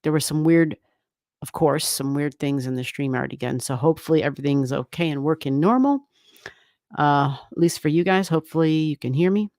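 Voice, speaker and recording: A woman's voice: sits low, a little fast, a little mellow, dry recording, clean studio sound. A woman hosting an astrology livestream from a room at home, chatting casually with viewers, rambling and laughing often. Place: home room, not soundproofed. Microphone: desk microphone, turned down halfway.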